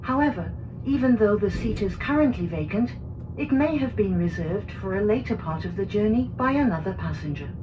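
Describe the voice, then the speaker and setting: A woman reading a formal, scripted train announcement in a measured, carefully enunciated way. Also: medium pitch, lively intonation. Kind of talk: recorded scripted English onboard announcement. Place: played over speakers inside a train car. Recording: muffled train PA, clear cabin noise.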